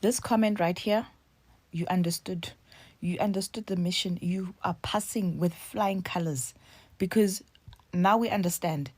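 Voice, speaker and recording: A woman's voice: lowish voice, darkish tone, no echo. A woman hosting a commentary channel, reacting decisively to a comment. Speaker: host.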